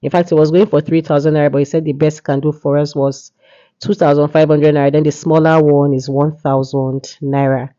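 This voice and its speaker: A woman's voice: deep voice, level tone, fairly quick, mellow, dull audio. A woman vlogger with a cold and a congested voice, chatting casually and often saying "yeah" and "you know". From Nigeria. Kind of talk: casual market vlog narration.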